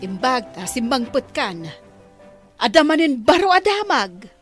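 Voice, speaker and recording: A woman acting a scandalized Filipino mother in a radio drama. She speaks slowly in a highish voice that swoops widely, stretching out her gossipy exclamations. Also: sing-song, soft background music.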